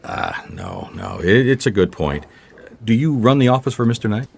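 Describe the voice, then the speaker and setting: A man's voice: fairly low pitch, huge pitch swings, normal speed, creaky, breathy edge, full character voice. A man playing a laconic, dogged private detective in a noir radio mystery, casual, wry and probing. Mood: probing, dry.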